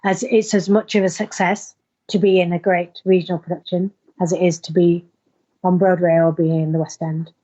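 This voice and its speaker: A woman's voice: on the low side, little pitch movement, normal speed, warm tone, lo-fi sound. A woman actor being interviewed informally, speaking in an earnest, emphatic and effusive way to promote a play.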